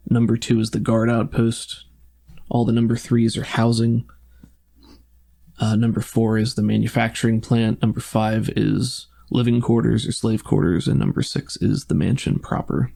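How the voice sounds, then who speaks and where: A man's voice: medium pitch, vocal fry, dark tone. A man narrating an improvised tabletop roleplaying game as game master, describing scenes in cinematic detail.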